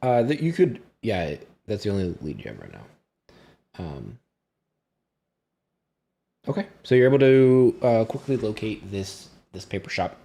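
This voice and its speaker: A man acting as game master, narrating a tabletop game in a casual, halting, improvised and easily amused way. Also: lowish voice, big pitch swings, gravelly, warm tone.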